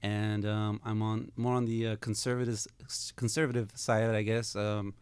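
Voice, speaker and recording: A man from California, a guest on a radio panel, speaking hesitantly with many pauses and fillers. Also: lowish voice, level tone, a little mellow, smooth, dry recording. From California, USA.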